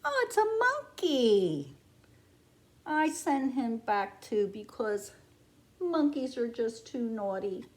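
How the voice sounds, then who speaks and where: A woman reads a picture book to preschoolers in a fairly high voice. Her delivery is slow and very animated, with the exaggerated wonder of a teacher at storytime. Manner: expressive, exaggerated wonder for small children. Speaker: preschool teacher reading to class. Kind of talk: children's picture book read-aloud.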